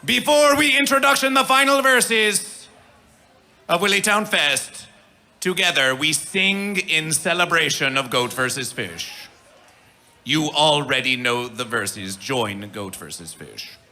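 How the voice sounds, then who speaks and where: A man emceeing a live festival stage, theatrical, with mangled grammar and chanted, shouted callouts. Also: on the high side, expressive, takes it slowly. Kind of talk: live stage hype announcing.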